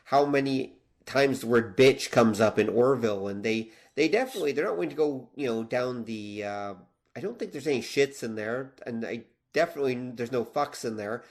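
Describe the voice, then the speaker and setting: A man's voice: medium pitch, moderate pace. A man co-hosting a podcast, explaining his points casually and agreeably. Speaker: podcast co-host.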